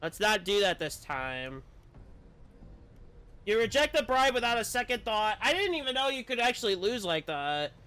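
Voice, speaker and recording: Guy streaming a game, high bright voice, reads the story out loud and chats casually, trips on words. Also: very animated, moderate pace, smooth. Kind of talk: reading game story text aloud with casual commentary.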